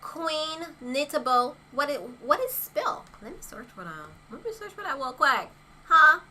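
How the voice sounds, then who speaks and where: A woman's voice: sits high, sing-song, measured pace, pushed and bright. A young woman chatting casually on a livestream as an influencer, reading viewer comments and laughing often between rhetorical asides. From Haitian heritage.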